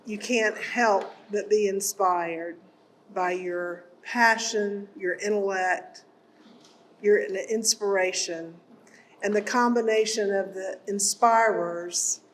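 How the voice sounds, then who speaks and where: A woman speaks slowly and formally at a school awards ceremony, thanking the faculty and students before presenting honors. Her pacing is unhurried and deliberate. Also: mid-range voice, a little hiss or hum, low-quality recording. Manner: slow and measured.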